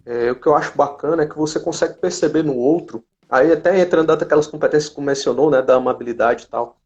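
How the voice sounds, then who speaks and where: A man's voice: fairly high pitch, fast talker, a little mellow. A man with a Brazilian accent hosting a casual live chat, commenting and reading out questions. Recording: dull lo-fi livestream audio.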